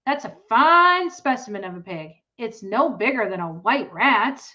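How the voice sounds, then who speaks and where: Woman doing brash, high-voiced ten-year-old boy. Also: lively intonation, a little slow, sharp tone, muffled recording. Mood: scornful. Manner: demanding, punchy.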